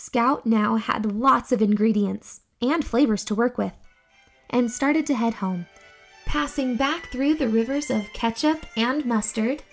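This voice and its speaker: A young woman hosting a children's read-aloud, reading a storybook in an expressive, deliberate, exclamatory way. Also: fairly high pitch, soft background music, rough recording.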